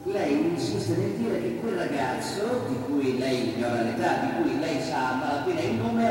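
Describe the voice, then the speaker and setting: An actor playing an insinuating, mock-polite interrogator in a stage drama, questioning formally and with pointed sarcasm. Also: male voice, fairly high pitch, lightly acted. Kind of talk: theatrical courtroom-style questioning. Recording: long hall reverb, distant, clear background noise.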